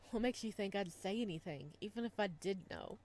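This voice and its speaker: A woman's voice: mid-range voice, expressive, normal speed, darkish tone, a little hiss or hum, natural voice. A woman voicing a lonely, wounded young man in a visual novel, wistful and biting. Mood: sneering. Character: lonely, wounded, sardonic young male prisoner, Mishka.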